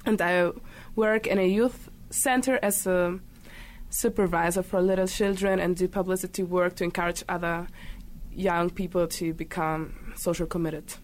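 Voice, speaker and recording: A teenage girl born in Cuba introducing herself in English with brisk confidence. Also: lowish voice, fairly even intonation, a little hiss or hum. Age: teens. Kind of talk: self-introduction.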